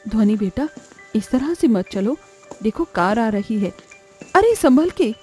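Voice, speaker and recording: A woman voicing a caring young mother in a cartoon story, gentle but chiding. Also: a little high, sing-song, a little slow, soft background music. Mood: worried. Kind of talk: Hindi cartoon story voice acting.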